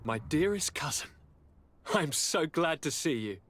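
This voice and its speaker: An actor playing a self-satisfied young aristocratic governor in a game, with florid, courtly, theatrical delivery. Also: male voice, fairly high pitch, expressive, measured pace, fairly bright tone. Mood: gracious, delighted. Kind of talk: game cutscene acting.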